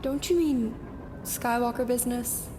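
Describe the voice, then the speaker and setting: Woman acting a high-voiced sly officer. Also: measured pace, natural voice. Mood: teasing, sly. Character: sly, efficient young female officer, friendly aide. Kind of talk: audio drama acting. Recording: clearly noisy background.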